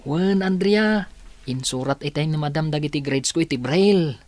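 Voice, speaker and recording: A man's voice: fairly high pitch, normal speed, darkish tone, lightly acted. A man playing an earnest young male student and devoted grandson in a radio drama, with a pleading manner. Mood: earnest, hopeful. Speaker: voice actor.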